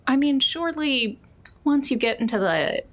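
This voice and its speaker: A woman's voice: high voice, lively intonation, normal speed. A woman playing a polite, probing district official in a tabletop game, asking formal, tactful, leading questions. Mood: politely curious.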